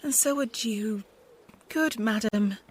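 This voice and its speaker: A woman playing an earnest young woman disguised as a youth, firm and breathy, in a Shakespeare radio drama. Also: a little high, takes it slowly. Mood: earnest refusal.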